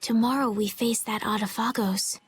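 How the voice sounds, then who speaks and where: A woman voicing a gentle, thoughtful young female healer in a video game, speaking softly, hesitantly and sincerely. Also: on the high side, steady tone, moderate pace, a little hiss or hum, lightly acted. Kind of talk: video game dub acting.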